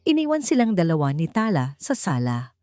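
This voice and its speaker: A woman's voice: lowish voice, very animated, fairly quick, dark tone, no room sound, clean studio sound. A woman with a Filipino accent reading a scripted romance story in a dramatic narrating style. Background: background music.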